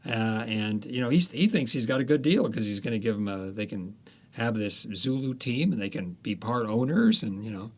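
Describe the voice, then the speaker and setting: A man with a fairly low voice tells a long story in an interview. He winds through history and plot, often pausing with fillers as he gathers his thoughts. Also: animated. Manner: storytelling, many pauses and fillers. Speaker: author guest.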